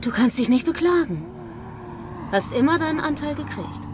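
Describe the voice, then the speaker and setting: A woman's voice: sits high, animated, normal speed, background noise. An actress playing a cool, scornful woman accomplice in a crime, impatient and dismissive, in a naturalistic radio drama. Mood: cold, dismissive.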